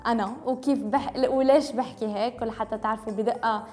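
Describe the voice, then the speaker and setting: A woman's voice: on the high side, steady tone, quick pace, dark tone, soft background music. A young woman from Lebanon whose first language was French, speaking in a Tripoli Lebanese accent with Syrian touches. She chats casually to camera and drops English words into her talk. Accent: Tripoli Lebanese Arabic with Syrian Homs touches. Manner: mixes English words into Arabic, chatty and self-correcting. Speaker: beauty vlogger.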